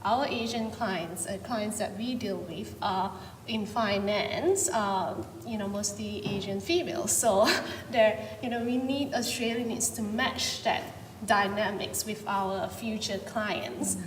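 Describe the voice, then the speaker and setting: A woman from Malaysia speaks on a panel, hesitant and full of ums and restarts. Her voice reaches a distant mic in a big hall with a long, roomy reverb. A clear layer of background noise sits under her. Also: mid-range voice, takes it slowly. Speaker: panel participant. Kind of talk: panel discussion.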